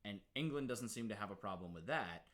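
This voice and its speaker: Lower-voiced young man, rambling and swearing. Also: lively intonation, brisk, a bit bright. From United States. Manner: swearing, rambling, laughs often. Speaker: podcast co-host. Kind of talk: casual chat.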